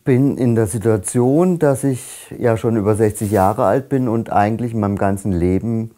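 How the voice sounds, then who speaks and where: Older man, 60s, interview, low warm voice, thoughtful. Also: moderate pace. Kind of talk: recorded interview. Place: dim indoor set.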